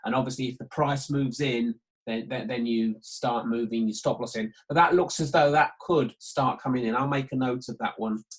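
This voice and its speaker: A man's voice: mid-range voice, moderate pace, hardly any pauses, rough recording. A man giving a live market walkthrough as a betting trading tutor, thinking aloud and often repeating himself. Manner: hesitant, repetitive, thinking aloud. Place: online conference call.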